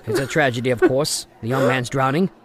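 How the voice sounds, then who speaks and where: A man's voice: medium pitch, brisk, close to an everyday voice. An actor playing a blustering, dismissive father who scoffs and speaks brusquely, in an old-time radio drama.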